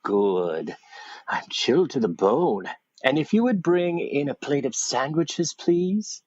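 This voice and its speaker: Male actor as fussy gentleman doctor, radio drama, wry, husky voice, animated swooping pitch. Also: slow, no room sound, lo-fi sound. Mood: genial. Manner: fussy, genteel, exclamatory. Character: genteel, wry older London doctor, society chairman. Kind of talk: radio play acting.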